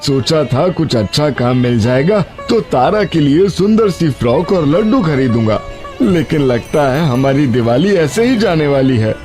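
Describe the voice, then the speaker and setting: Poor, plaintive father, slightly high-voiced. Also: sing-song, normal speed, faint music behind. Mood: sorrowful, despairing. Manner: plaintive, gentle, earnest. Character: poor, honest, sorrowful father, a tender day labourer. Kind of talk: animated moral story dialogue.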